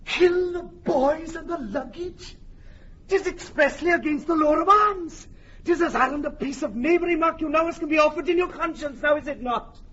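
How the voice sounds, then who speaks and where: An actor playing a hot-tempered, pedantic army captain in classical Shakespearean radio style. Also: male voice, extremely high pitch, normal speed, a bit bright. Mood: indignant. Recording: dull archival radio drama recording.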